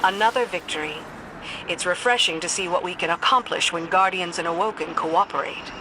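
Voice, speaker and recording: A woman playing a blunt, sceptical, loyal military commander in crisp, matter-of-fact game dialogue. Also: on the low side, brisk, noisy background, lightly acted. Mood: brisk. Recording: loud music under the voice.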